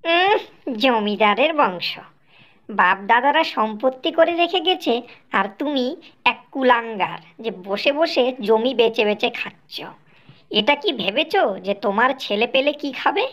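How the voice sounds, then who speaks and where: A woman voicing a sharp-tongued, exasperated young village wife in a Bengali cartoon, scolding and sarcastic. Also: fairly high pitch, expressive, measured pace.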